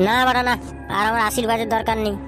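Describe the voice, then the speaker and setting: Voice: mid-range voice, little pitch movement, rapid-fire, fairly bright tone, soft background music, natural voice. A performer voicing a cheeky, foul-mouthed young male slacker in a comic cartoon, brash and whiny. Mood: indignant.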